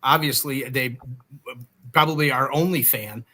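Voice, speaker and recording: Bright-voiced man hosting a chat show. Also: mid-range voice, unhurried. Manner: joking, self-deprecating banter. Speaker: co-host. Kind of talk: casual livestream chat.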